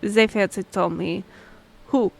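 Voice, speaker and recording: A woman's voice: mid-range voice, fairly even intonation, a little slow, vocal fry. A woman playing a polite, flustered young half-elf royal liaison in a fantasy audio roleplay, hesitant and apologetic. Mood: dejected.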